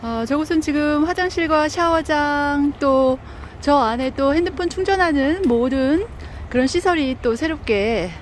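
A woman's voice: sits high, measured pace. A woman vlogging as she tours a campground, chatty and exclaiming often, recorded outdoors on a phone. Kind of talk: casual vlog narration. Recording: outdoor phone audio with clear background noise.

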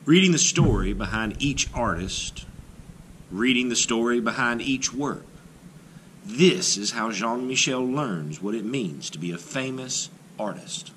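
A man reading a children's picture book aloud expressively, with dramatic phrasing and deliberate pauses. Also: mid-range voice, expressive, a bit bright, slight background noise, poor audio.

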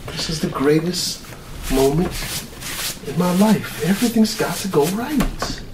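An earnest middle-aged military mad scientist acted by a man in an ASMR roleplay. He rambles and mutters at an unhurried, slow pace, repeating himself and easily flustered. The sound is distant and echoey. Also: high voice, expressive. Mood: suspicious, anxious. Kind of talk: ASMR roleplay, improvised monologue. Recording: roomy, distant mic with clear noise.

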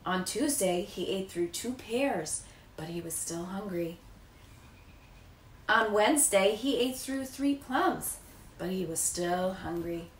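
A woman's voice: mid-range voice, lively intonation, slow. An American woman in her thirties reading a children's picture book aloud. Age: 30s. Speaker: teacher. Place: living room, indoors. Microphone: camera a few feet away. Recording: distant echoey room, faint noise.

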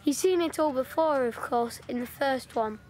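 A woman's voice: sits high, moderate pace, darkish tone, close to an everyday voice, rough recording. A woman playing a chatty, blunt wartime schoolchild in an audio play. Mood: excited. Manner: chirpy, blunt.

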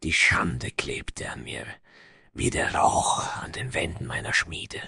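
A man's voice: very deep voice, takes it slowly, vocal fry, pushed and bright, breathy edge, no room sound, in a whisper, clean studio sound, exaggerated voice. A man playing a proud, vengeful dwarf smith from the icy north, speaking in solemn, grandiloquent phrases in a fantasy audio story. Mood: bitter, wounded.

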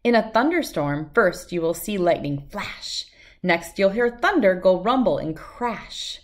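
A woman's voice: medium pitch, expressive, measured pace. Middle-aged woman reading rhymes aloud to children. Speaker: children's book reader. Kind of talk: read-aloud of a rhyming picture book.

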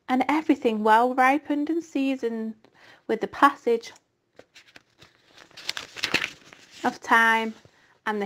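Storybook rat read by a woman: husky, hoarse, bloated and smug, with snarled, drawn-out lines. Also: a little high. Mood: gloating. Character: gloating greedy male rat, husky and stuffed.

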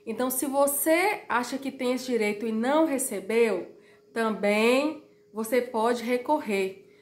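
A woman in her thirties from Brazil, a little hoarse, chatting straight to her viewers in an informal explainer vlog. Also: a little high, measured pace, roomy sound. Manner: chatty, direct to viewers, repeats warnings. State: slightly hoarse. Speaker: YouTuber. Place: small room at home, indoors.

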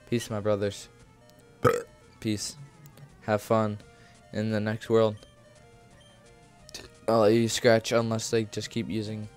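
A man chats casually as he plays a video game. His voice is on the low side and he takes his time, drifting along at a very slow pace. He is goofy and jokey, often circling back to repeat his own phrases, with faint game music playing behind him. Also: darkish tone. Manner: goofy, joking, repeats himself. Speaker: gaming video creator. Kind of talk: casual rambling Let's Play commentary.

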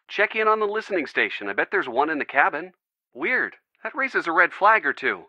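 A man's voice: fairly high pitch, bright, forceful tone, dry recording, heavily acted. An actor playing a wry, helpful young male sidekick who gives casual advice in a video game. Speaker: supporting character in a detective adventure game.

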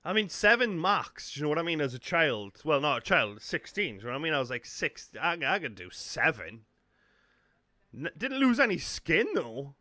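A man's voice: a little high, very animated, normal speed, bright, forceful tone, no echo. A man in his thirties with a Geordie accent, giving casual off-the-cuff reaction commentary into a close microphone. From North East England. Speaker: reaction video host. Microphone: large close studio microphone.

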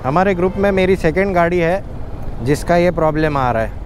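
A man's voice: sits high, a little fast, slight background noise. A young man, chatting casually while riding a motorbike outdoors and dropping in English phrases, recorded on a bike-mounted action camera. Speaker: motorcycle vlogger. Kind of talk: casual ride commentary. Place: outdoors on a moving motorbike on highways and town roads. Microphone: helmet or bike-mounted action camera. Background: background music.

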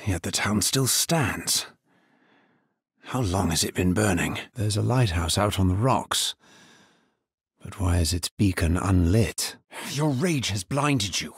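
A grim necromancer from a dark video game, speaking very low and husky in short, measured lines. He sounds grave and dutiful, recorded close and dry with no room around him. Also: no echo, heavily acted. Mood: grave, watchful, then urgent, forceful.

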